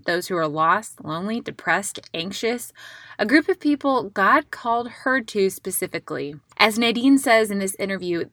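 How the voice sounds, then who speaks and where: Female podcast host, very bright tone, dry close sound, chatty and encouraging interviewer. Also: medium pitch, no room sound. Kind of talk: podcast intro and conversational interview.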